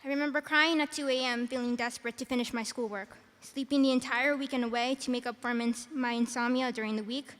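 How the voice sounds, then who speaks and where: Soft-spoken teenage girl in an echoing arena. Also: on the high side, fairly even intonation, fast, few pauses. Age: teens. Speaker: salutatorian giving graduation speech. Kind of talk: prepared commencement speech. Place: large arena. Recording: arena with long reverb.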